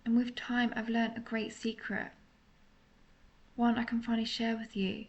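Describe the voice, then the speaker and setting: A woman voicing a tender, melancholy centuries-old vampire lover in an intimate audio roleplay, speaking softly and confessionally, at times nearly whispering. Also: on the high side, completely flat delivery, normal speed, highs cut off, close to an everyday voice. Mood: gentle, reassuring. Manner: soft, confessional, partly whispered.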